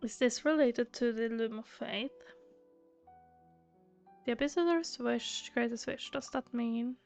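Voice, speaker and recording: A woman's voice: fairly high pitch, unhurried, a little mellow, soft background music. A woman streaming a game playthrough, reading dialogue aloud and reacting casually. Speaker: gaming streamer.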